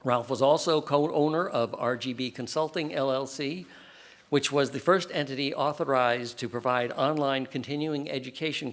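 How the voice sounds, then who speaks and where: A man hosting a candidates night, reading a formal introduction of the moderator. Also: medium pitch. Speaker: event host.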